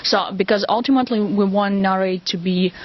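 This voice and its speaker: Female company spokesperson with a Russian accent, medium-pitched, informal and hesitant, on lo-fi audio. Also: steady tone, a little fast. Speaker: company representative being interviewed. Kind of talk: casual product interview. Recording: dull lo-fi audio.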